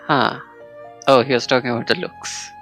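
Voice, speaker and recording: A man's voice: medium pitch, fairly even intonation, moderate pace, fairly bright tone. A man streaming a game live, reacting and musing aloud in casual commentary. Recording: game music behind the voice.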